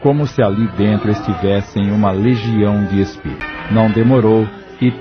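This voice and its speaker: A man's voice: lowish voice, normal speed. Guy narrating an audio novel, dull lo-fi sound, music playing behind him.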